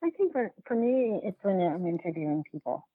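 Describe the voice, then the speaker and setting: A woman being interviewed as a documentary filmmaker, talking casually and haltingly, repeating and rephrasing as she thinks aloud, over a remote call. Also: on the low side, very animated, husky, no room sound. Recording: phone-like narrow-band line.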